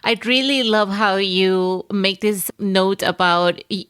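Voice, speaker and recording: A woman's voice: mid-range voice, slow, fairly bright tone, clear, resonant tone. Encouraging immigrant woman podcast host. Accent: Latin American Spanish. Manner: encouraging, affirming with many mm-hmms. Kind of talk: casual interview conversation.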